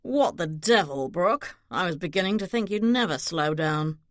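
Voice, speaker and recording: A woman voicing a scheming, hot-tempered man of high society in an audiobook, insistent and menacing. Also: expressive, normal speed, dry recording, studio quality, close to an everyday voice. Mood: brusque. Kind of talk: audiobook character dialogue.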